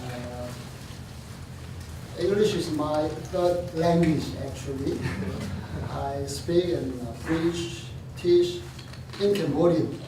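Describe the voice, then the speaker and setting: A middle-aged man with a Korean accent, a missionary speaking to a seminar audience in halting English with many pauses and light laughs. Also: on the high side. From South Korea. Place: lecture room. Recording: very echoey room, distant mic, noisy.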